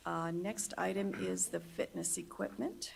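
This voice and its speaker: A woman presiding over a public board meeting, running the votes in a brisk, procedural way. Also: fairly low pitch, steady tone, creaky, a little hiss or hum. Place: meeting room.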